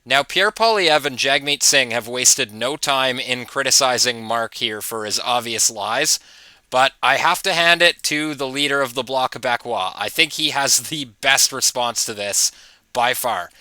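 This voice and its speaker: A man's voice: mid-range voice, a little slow, sharp tone, no echo. A man hosting a political commentary show, talking to viewers in an informal, mocking way with chuckling asides. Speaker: host of political commentary channel. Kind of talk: casual opinion commentary to camera.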